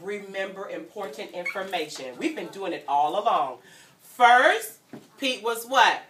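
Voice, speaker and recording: A woman reading a story aloud to young children in an animated, teacherly way, prompting them to join in and breaking into sung refrains. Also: mid-range voice, measured pace. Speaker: guest story-time reader for young children. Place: indoor room at a childcare centre. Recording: echoey room, distant mic, children around.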